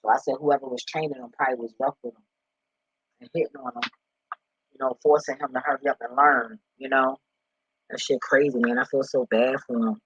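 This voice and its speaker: A man with a husky voice talking casually and off the cuff, blunt and profane with streetwise slang, like an online spiritual commentator. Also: level tone, brisk, a bit bright. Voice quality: husky, deep voice. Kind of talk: casual, unscripted rambling talk. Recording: roomy, dull lo-fi home recording.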